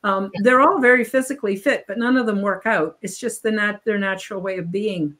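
A woman's voice: mid-range voice, fairly quick, fairly bright tone. An older woman talking casually as an interview guest over a video call, rambling with restarts and fillers. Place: home room on video call.

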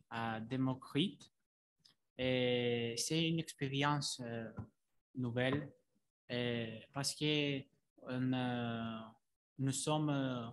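A man, a first-year student, speaking very slowly and hesitantly, faint and far from the mic. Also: mid-range voice, a little hiss or hum. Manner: very slow and hesitant.

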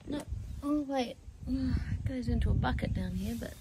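Woman, creaky voice, musing outdoors. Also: fairly high pitch, moderate pace. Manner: musing aloud, trailing off. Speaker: camper filming a walk-around vlog. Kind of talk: casual off-the-cuff commentary while exploring. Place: outdoors at a bush campsite. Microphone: handheld phone or camera, behind the lens. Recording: outdoor handheld recording with background noise.

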